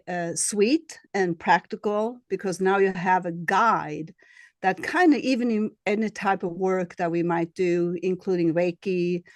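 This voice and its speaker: A woman with a Swedish accent, a healer being interviewed, who speaks in a halting way and searches for her words. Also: lowish voice, no room sound. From Sweden. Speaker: guest, shamanic healer and astrologer. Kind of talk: casual interview.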